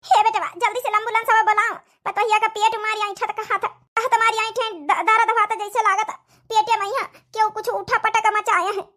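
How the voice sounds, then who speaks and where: A squeaky cartoon village daughter-in-law, awadhi accent, really piercing and exclamatory. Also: female voice, level tone, fairly quick, sharp tone. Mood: panicked, pleading. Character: scheming young daughters-in-law and fretting mother-in-law, village family. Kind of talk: cartoon comedy dialogue.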